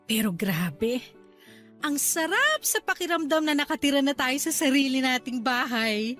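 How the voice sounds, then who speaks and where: Tender young wife and mother in radio drama, high-pitched, chatty and reassuring, music underneath. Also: animated, unhurried, a bit bright. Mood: apologetic, content. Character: affectionate young wife and new mother, apologetic, content. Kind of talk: Tagalog radio drama acting.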